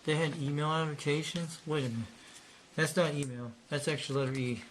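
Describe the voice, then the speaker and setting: A man's voice: fairly high pitch, a bit bright, poor audio. A man presenting a casual, unscripted hobby show-and-tell in a rambling, repetitive way. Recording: noticeable background noise.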